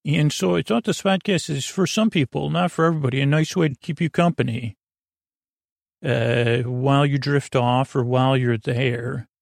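A man hosting a bedtime podcast in a meandering, halting monologue, drawing out words, wandering into asides and sounding gently silly. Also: mid-range voice, vocal fry, no room sound, clean studio sound. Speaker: podcast host. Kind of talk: rambling bedtime podcast monologue to help listeners sleep.

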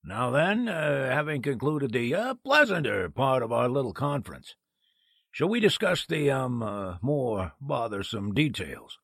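An actor playing a sleazy, fussily polite gossip columnist who talks haltingly, in old-time radio drama style. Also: male voice, medium pitch, big pitch swings, creaky, fairly bright tone, husky, no room sound, studio quality, exaggerated voice. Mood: oily, courteous. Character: sleazy gossip columnist, oily man, nervously pleading.

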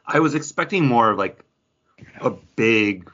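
A man's voice: medium pitch, expressive, measured pace, fairly bright tone. A man chatting casually as a podcast co-host, reviewing craft beer. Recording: dull lo-fi audio.

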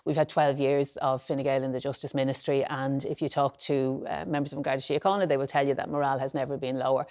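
A woman's voice: very deep voice, little pitch movement, quick pace, few pauses, darkish tone. An Irish woman politician making her case earnestly on a radio panel discussion. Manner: earnest, insistent, builds to emphatic.

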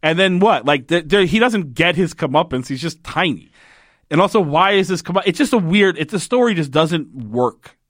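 A man's voice: on the high side, lively intonation, moderate pace, a bit bright, no echo, clean studio sound. A man in casual podcast chat, sarcastic and swearing often as he recaps a TV episode and acts out its lines. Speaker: podcast co-host.

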